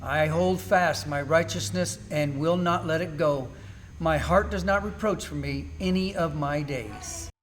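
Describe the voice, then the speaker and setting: A man's voice: fairly high pitch, unhurried, a little hiss or hum, lightly acted. An amateur actor, a man, playing a suffering, bitter but steadfast man in a solemn, declamatory Bible drama reading. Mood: defiant. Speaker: church class amateur actor.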